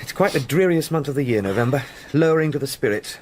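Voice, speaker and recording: An actor playing a polite, slightly unsure young English solicitor, deferential and earnest, in a radio drama. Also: male voice, fairly high pitch, lively intonation, fast talker, a little mellow, slight background noise, close to an everyday voice. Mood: chatty, flustered. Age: young adult.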